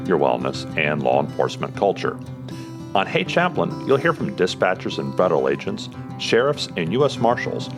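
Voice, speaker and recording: An American man hosting a podcast as a police chaplain, reading an earnest intro and then interviewing his guest. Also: sits low. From United States. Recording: music behind the voice. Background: guitar music.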